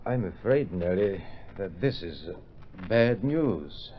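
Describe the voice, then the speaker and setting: An actor playing a grave, loyal gentleman companion, formal and hesitant, in an old radio drama. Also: low voice, lively intonation, slow. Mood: grave, dismayed. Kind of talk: 1950s-style radio drama acting. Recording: dull, noisy vintage radio recording.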